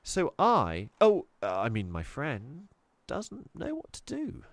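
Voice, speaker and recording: A man's voice: a little high, wildly swooping pitch, slow pace, no echo, voice pushed up, exaggerated voice. A man voicing a nervous young schoolgirl who stammers and hesitates, in a dry recording. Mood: nervous girlish.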